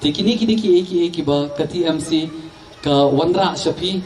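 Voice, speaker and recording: A man speaks at a political rally. His voice sits somewhat high. It is picked up at a distance the microphone, as a public address. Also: brisk, a little mellow, a little hiss or hum.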